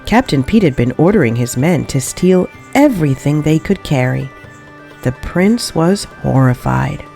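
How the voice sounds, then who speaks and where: A woman narrates a children's storybook in a low voice with lively swings of pitch. She takes her time over the phrases, with faint music behind her. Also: a little slow, gravelly. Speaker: storybook reader. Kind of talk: expressive children's read-aloud narration.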